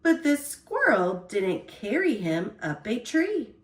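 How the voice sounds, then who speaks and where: High-voiced, animated woman reading a picture book. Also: reverberant. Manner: expressive, deliberate phrasing. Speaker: storyteller. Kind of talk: children's picture-book read-aloud.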